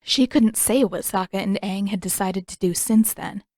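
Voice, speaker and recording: A woman reading scripted fiction as an expressive audiobook narrator. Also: mid-range voice, normal speed, no room sound, clean studio sound.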